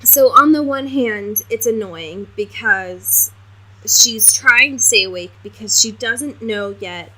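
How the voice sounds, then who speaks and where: A woman's voice: fairly high pitch, takes it slowly, pushed and bright, low-quality recording. A woman co-hosting a podcast, casually discussing a book in a wry, informal way. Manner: wry, informal, peppered with mild swearing. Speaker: podcast co-host.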